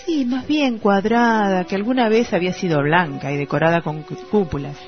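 A woman's voice: medium pitch, moderate pace, close to an everyday voice. A woman playing a gossiping townswoman with an Argentine accent, telling a story conversationally in a radio play. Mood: nostalgic, gossipy. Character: gossiping townswoman recalling a dead spinster neighbour. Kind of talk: radio play literary narration. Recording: dull radio recording with music behind. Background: music.

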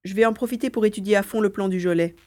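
A woman's voice: mid-range voice, very fast, darkish tone, roomy sound, close to an everyday voice. A woman playing a determined young boy hero in an audio drama, thinking aloud as he acts. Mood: resolute. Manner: thinks aloud, narrating own actions.

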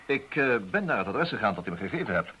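An actor playing a self-assured, urbane private detective in a radio play, with a teasing, wry manner. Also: male voice, on the low side, very animated. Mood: reporting. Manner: urbane, teasing, hesitant little pauses. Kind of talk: Dutch radio play acting. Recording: muffled, faintly noisy old radio recording.

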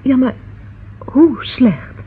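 A woman's voice: high-pitched, animated, extremely slow, exaggerated voice. An actress playing an anxious, affectionate young woman in a Dutch radio drama, hesitant and confiding. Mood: worried. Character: anxious, affectionate young sweetheart of a police inspector. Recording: muffled, husky-sounding old radio recording.

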